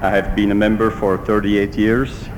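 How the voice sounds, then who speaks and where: A middle-aged man with a Belgian Dutch accent, a native Dutch speaker, giving a measured talk to a congregation. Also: flat delivery. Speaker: church speaker. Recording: roomy hall with faint rumble.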